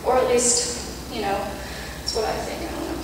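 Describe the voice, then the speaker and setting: A woman's voice: on the high side, steady tone, background noise. Young woman reading in an echoey church. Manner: earnest, measured, with light self-deprecating asides. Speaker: youth speaker. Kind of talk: prepared reflection read in church. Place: large church sanctuary, at a pulpit. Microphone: pulpit mic, camera far off. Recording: echoey church hall from far off.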